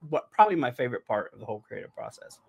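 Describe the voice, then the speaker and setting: A man's voice: medium pitch, sing-song, rapid-fire, gravelly, slightly rough. A man speaking casually and emphatically as a writer-producer hosting a podcast, often repeating words for emphasis and checking in with "right?". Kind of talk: casual behind-the-scenes podcast conversation.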